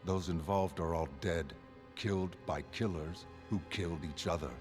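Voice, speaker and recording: Man voicing suave, cold mastermind, low voice. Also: steady tone, moderate pace, a little mellow, soft background music, close to an everyday voice. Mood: cold pride. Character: suave, coldly arrogant genius mastermind villain, adult man. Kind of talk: dramatic motion comic narration.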